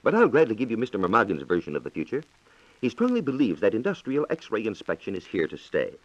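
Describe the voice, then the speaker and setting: A man's voice: lowish voice, wildly swooping pitch, fast talker. An American man reporting on science in a scripted, formal and precise 1940s radio style, on a dull, echoey old recording.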